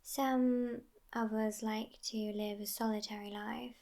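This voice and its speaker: Slow, whispering vampire girlfriend, acted by a woman. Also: a little high, flat delivery. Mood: wry, amused. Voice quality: breathy whisper. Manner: unhurried, soft, intimate whispering. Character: sassy, outspoken immortal vampire girlfriend, world-weary and teasing. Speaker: ASMR roleplay performer. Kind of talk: whispered ASMR roleplay.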